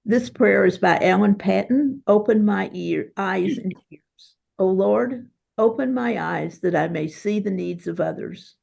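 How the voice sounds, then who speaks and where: A woman, a religious sister, reading a prayer aloud in measured phrases. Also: medium pitch, vocal fry, darkish tone. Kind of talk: slow read-aloud prayer.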